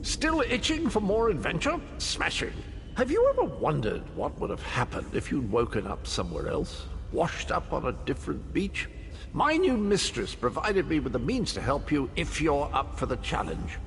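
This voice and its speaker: An actor playing a jolly, eccentric gentleman knight in a video game, with a chummy, flamboyant upper-class British manner. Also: a little high, a little hiss or hum. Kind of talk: video game character acting. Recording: long reverb, music behind.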